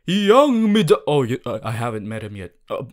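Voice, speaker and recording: A man voicing a boisterous, cheery young superhero student in an audio roleplay, halting at times and theatrically heroic. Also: sing-song, close to an everyday voice. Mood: earnest, upbeat. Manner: halting, theatrical, breaks into booming heroic declarations. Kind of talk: ASMR audio roleplay to a listener.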